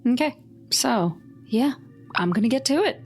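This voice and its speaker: A woman's voice: fairly high pitch, animated, moderate pace, soft background music. A woman playing a tabletop game, talking casually in character with a blunt, wry, informal manner.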